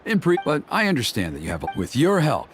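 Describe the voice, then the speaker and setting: Male elder for fantasy game: affable, calculating, lively intonation, measured persuasion. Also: on the high side, over music. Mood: smooth, instructive. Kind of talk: video game voice acting.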